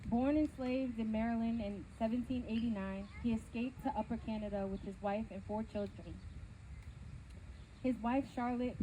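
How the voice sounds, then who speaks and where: A woman's voice: on the high side, background noise. A woman presenter formally reading a biographical introduction, with stumbles and self-corrections. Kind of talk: read-aloud biographical introduction.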